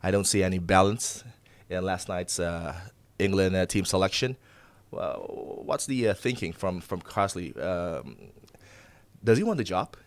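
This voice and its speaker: Low-voiced man hosting football chat. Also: unhurried, no echo. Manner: hesitant, many filler words. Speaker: host of a football talk show.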